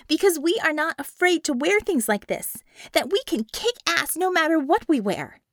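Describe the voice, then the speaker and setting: A woman's voice: high-pitched, no room sound, clean studio sound, voice pushed up. A woman voicing a confident, sly superheroine leader in an audiobook, with an assured, coaxing and emphatic delivery.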